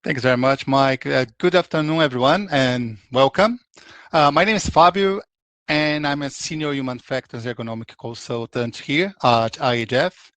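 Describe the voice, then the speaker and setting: A man's voice: medium pitch, moderate pace, fairly bright tone, no room sound. Brazilian man presenting a webinar. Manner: conversational, with frequent restarts and "like". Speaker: ergonomics consultant presenting.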